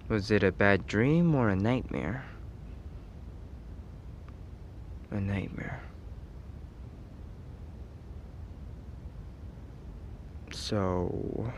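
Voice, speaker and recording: A man voicing a caring but teasing teenage boy best friend in an ASMR roleplay, hesitant and soft-hearted while feigning reluctance. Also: on the low side, slight background noise. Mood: curious, incredulous.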